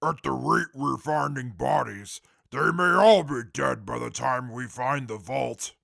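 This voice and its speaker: Sardonic wasteland survivor, male actor, audio drama: extremely gravelly and husky, slow, curt, callous, short fuse. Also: mid-range voice, fairly bright tone, no room sound, clean studio sound, heavily acted. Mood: dry, sardonic. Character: gruff, cold, sardonic, short-tempered male wasteland traveller. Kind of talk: post-apocalyptic audio drama acting.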